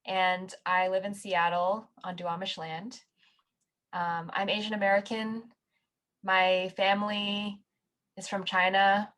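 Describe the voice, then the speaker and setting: Woman with a lowish voice, measured interview talk, some ums. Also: a bit bright. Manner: measured, with fillers. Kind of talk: documentary interview.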